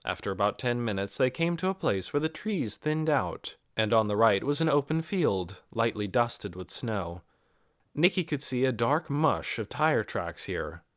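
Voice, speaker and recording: An audiobook narrator reading a novel in a dramatic, expressive storytelling manner. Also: mid-range voice, measured pace, no room sound, studio quality.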